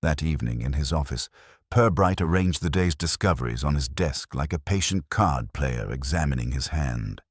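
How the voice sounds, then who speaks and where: A man reading a scripted mystery story as a narrator, in a measured, literary manner. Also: a bass voice, lively intonation, slightly rough, dry recording, studio quality. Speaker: audiobook narrator.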